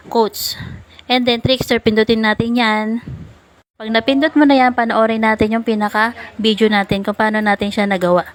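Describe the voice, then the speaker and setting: A Filipino woman hosts a casual how-to voice-over with a fairly high voice. She explains things step by step in an instructional way. The sound is dry and close, with little room in it. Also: no room sound. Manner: instructional, mixes Tagalog with English phrases. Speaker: tutorial host.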